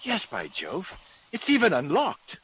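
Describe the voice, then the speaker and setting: An actor playing a fussy, sceptical British gentleman in a vintage radio drama, genteel, blustery and exclamatory. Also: sits high, huge pitch swings, unhurried, heavily acted. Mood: curious. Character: fussy, excitable, sceptical English gentleman of the manor. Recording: noisy vintage radio recording.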